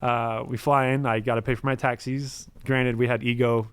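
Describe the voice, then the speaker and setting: A man from Montreal, about thirty, whose first language is French, telling stories as a podcast guest in a quick, rambling way full of "like" and "um". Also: medium pitch, flat delivery, smooth, no echo. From Montreal, Canada. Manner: rapid storytelling, full of "like" and "um". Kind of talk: casual podcast interview.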